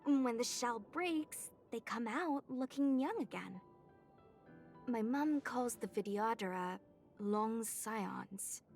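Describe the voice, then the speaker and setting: A woman's voice: a little high, expressive, slow pace, darkish tone. A woman voicing a young female knight trained with swords, curious and blunt, in a video game. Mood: explaining. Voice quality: eager, chatty questioning. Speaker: game character. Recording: game audio with faint music behind.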